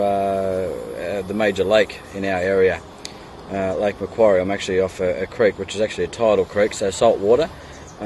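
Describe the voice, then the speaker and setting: An Australian man chatting casually as an amateur radio operator, pausing often, recorded outdoors. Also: on the low side. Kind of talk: casual radio chat with spelled callsigns. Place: outdoors in a kayak on a creek. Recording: outdoor recording with steady background noise.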